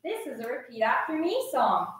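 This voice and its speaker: A woman's voice: fairly high pitch, very animated, normal speed. A woman helper repeating lines of a children's call-and-response campfire chant, recorded from off mic. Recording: roomy, quiet, off-mic from afar.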